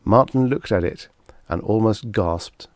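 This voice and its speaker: A man's voice: fairly low pitch, measured pace, no room sound, studio quality. A man reading a scripted story as an audiobook narrator.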